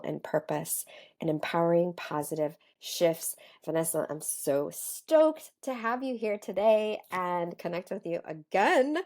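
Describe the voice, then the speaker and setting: A woman hosting a casual podcast interview, with a calm, soothing voice and a chatty manner full of "like". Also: on the low side. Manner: chatty, lots of "like" fillers. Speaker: podcast host.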